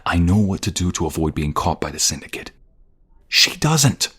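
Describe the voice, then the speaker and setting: A man playing a protective ex-mobster in an audio roleplay drama, husky and breathy, defiant with others and tender with his fiancée. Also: sits low, big pitch swings, normal speed, a bit bright, full character voice. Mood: angry, defiant. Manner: intense, protective.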